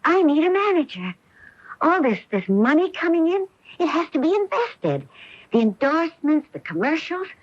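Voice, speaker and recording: A highish, very animated driven sports-star wife, earnest and loving. She pleads and persuades with open emotion in radio play style, close and dry on a muffled old broadcast. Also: moderate pace, no echo. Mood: urgent, persuasive. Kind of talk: radio drama acting.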